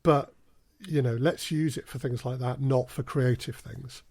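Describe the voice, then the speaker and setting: A man's voice: medium pitch, steady tone, measured pace, darkish tone, no echo. Man explaining in a dry-sounding interview. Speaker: guest, audio drama producer. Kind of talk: casual podcast interview.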